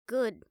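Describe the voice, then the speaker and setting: A woman playing a caring, blunt, teasing young girlfriend in an improvised audio roleplay, chatting casually and haltingly to the listener. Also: a little mellow, no echo, clean studio sound. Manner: casual, halting, playful swearing, affectionate teasing.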